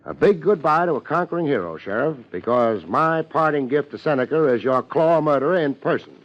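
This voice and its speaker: An actor with an American accent playing a laid-back, sardonic private detective in a 1950s radio drama, deadpan and wisecracking. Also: male voice, on the high side, brisk, natural voice. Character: wry, laid-back private detective narrating his case. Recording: muffled, hissy 1950s radio recording.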